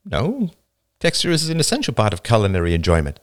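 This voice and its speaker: A female robot waitress who explains the menu plainly and matter-of-factly, voiced by a man. The voice is raised and animated, with a dry, close sound. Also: mid-range voice, fast, no echo, voice pushed up. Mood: explaining.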